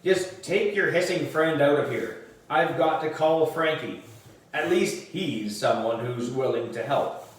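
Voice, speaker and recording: A man's voice: fairly high pitch, a little slow, natural voice. Man reading as an anxious, emphatic schoolboy, echoey. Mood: annoyed, defensive. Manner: emphatic, pleading. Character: anxious, defensive schoolboy hero of a children's book. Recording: roomy echo, distant microphone.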